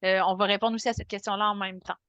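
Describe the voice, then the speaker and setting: Woman running an online info session, polite, lots of little pauses, dry sound. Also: medium pitch, level tone, a bit bright, no room sound, muffled recording. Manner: measured, courteous, hesitant fillers. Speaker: meeting moderator. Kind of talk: moderating a public meeting.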